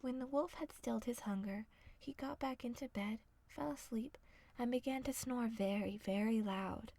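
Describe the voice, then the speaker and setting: A woman reading a fairy tale as soft ASMR narration, hushed and often slipping into a whisper. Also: fairly high pitch, normal speed, dry recording. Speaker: storyteller.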